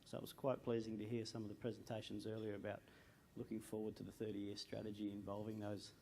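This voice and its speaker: A man's voice: fairly low pitch, completely flat delivery, fast talker, gravelly, mellow, a little hiss or hum. A man on an energy panel, explaining his points steadily. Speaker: panelist, energy industry adviser. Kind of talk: panel answer. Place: conference room.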